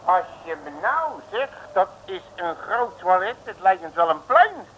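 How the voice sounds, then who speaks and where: An actor playing a cocksure, bumbling detective in an old radio comedy, full of slangy bluster and hesitations. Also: male voice, high voice, big pitch swings, measured pace. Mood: grumbling. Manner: hesitant fillers, folksy comic threats. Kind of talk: 1950s-60s Dutch radio comedy acting. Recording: noisy old radio recording.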